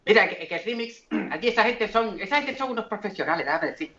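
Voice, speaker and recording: High-voiced man, halting, echoey sound. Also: expressive, sharp tone. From raised in the Dominican Republic. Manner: hesitant, many fillers and restarts. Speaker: singer and comedian guest. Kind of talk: casual interview chat. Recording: echoey and dull.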